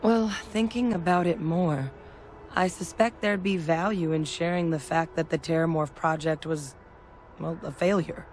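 A woman playing a candid, measured military veteran in a video game, speaking deliberately. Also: low voice, fairly even intonation, a little mellow, slight background noise. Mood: measured, reassuring. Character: measured, candid female soldier-scientist, war veteran.

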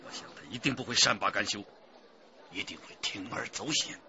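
An actor playing a decisive male police detective chief in a radio drama, speaking in a commanding, deliberate way. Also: lowish voice, sharp tone. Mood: urgent, reasoning. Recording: dull radio drama with noisy background.

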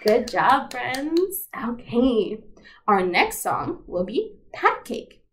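A young woman hosting a toddler storytime in an encouraging, sing-song, expressive manner. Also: a little high, slow, a little hiss or hum. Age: young adult. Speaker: library storytime host. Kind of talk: toddler storytime with songs and rhymes. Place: indoors in front of a decorated backdrop.

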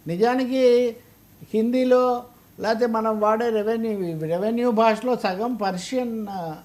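High-pitched, emphatic Telugu man. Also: fairly even intonation, a little slow, darkish tone. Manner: emphatic, argumentative, rhetorical questioning. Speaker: political analyst and commentator. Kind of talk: political commentary in an interview.

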